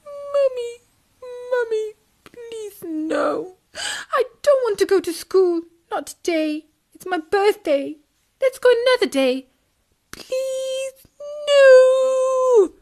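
A woman voicing a tiny excited tadpole boy who squeals with joy and begs through sobs. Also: high-pitched, slow, pitched above the performer's own voice, heavily acted. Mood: sobbing pleas. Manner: squealing, pleading, sobbing.

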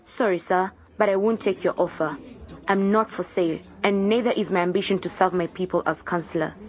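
A woman's voice: fairly low pitch, a little fast, dull audio, lightly acted. An actress playing a principled young female candidate, polite but defiant, in a radio drama. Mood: firm, defiant.

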